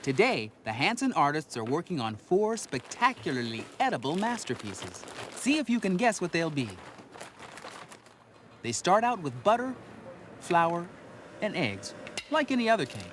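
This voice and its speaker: A man's voice: fairly high pitch, sing-song, moderate pace, fairly bright tone, slight background noise. A man narrating a children's television segment in a scripted, playful style, as the show's narrator.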